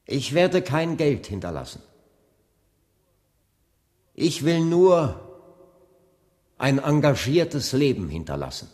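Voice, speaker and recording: A man's voice: mid-range voice, very animated, slow, long pauses, a little mellow. An actor playing a civil rights leader and pastor in his thirties in a German radio play, speaking expressively. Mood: solemn preaching. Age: 30s. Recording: radio play with long reverb.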